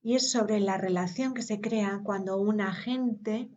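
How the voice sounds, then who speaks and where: Woman, live conference interpreter, slow and halting delivery, dull muffled audio. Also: mid-range voice, a little mellow. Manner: hesitant, with pauses and filler sounds.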